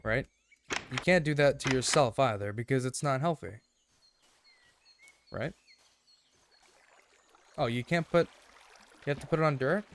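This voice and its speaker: Slightly raspy man chatting over gameplay. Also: mid-range voice, measured pace, long pauses, background noise. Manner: earnest, often checking in with "right?". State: throat a bit rough. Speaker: gaming video host. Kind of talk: casual rambling gameplay commentary with life advice.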